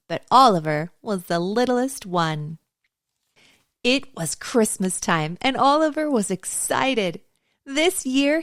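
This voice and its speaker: A woman's voice: medium pitch, no echo. A woman narrates a children's picture book with lots of melodic movement in her voice. She takes her time and tells it expressively for young listeners. The sound is close and dry. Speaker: storytime host and narrator.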